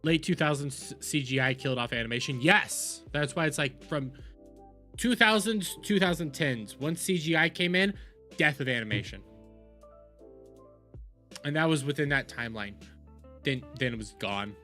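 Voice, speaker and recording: A man's voice: on the high side, moderate pace, pauses often, pushed and bright, faint music behind. A man hosting a reaction livestream, giving opinionated commentary in an emphatic, ranting way and repeating phrases for stress.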